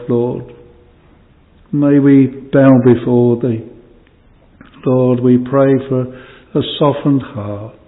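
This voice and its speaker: A man prays slowly and solemnly in old-fashioned language, his voice level and flat, phrases fading to a hush. The sound is narrow and phone-like, with a long hall reverb behind him. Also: medium pitch. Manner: solemn, archaic "thou" phrasing, pleading; voice falls away quietly at phrase ends. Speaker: minister leading prayer. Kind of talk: extempore congregational prayer.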